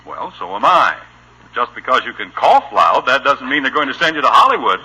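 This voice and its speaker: Exasperated radio-sitcom dad, sharp and animated. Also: male voice, mid-range voice, a little fast, a little hiss or hum, poor audio. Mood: wry. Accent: American. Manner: dry, hesitant, then firmly scolding. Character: exasperated, wry family father. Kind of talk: vintage American radio sitcom acting. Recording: raspy old-time radio recording.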